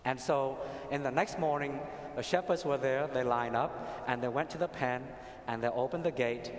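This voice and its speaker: Middle-aged Vietnamese-accented priest preaching, unhurried storyteller; roomy cathedral with long reverb. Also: male voice, mid-range voice. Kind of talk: sermon. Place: large cathedral.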